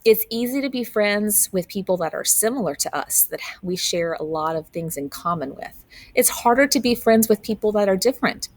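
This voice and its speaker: A woman's voice: mid-range voice, expressive, moderate pace, no echo. Woman reading a storybook aloud, dry close sound. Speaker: teacher reading a picture book aloud. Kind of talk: expressive storybook read-aloud with asides to children.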